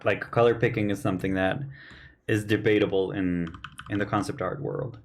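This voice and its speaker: A man with a fairly low voice chats casually while painting on a livestream. His voice is a little hoarse because he is losing it. He sounds earnest and opinionated and thinks aloud, with faint keyboard clicks in the background. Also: steady tone, moderate pace, slight background noise. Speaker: artist hosting a painting livestream. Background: faint keyboard and mouse clicks.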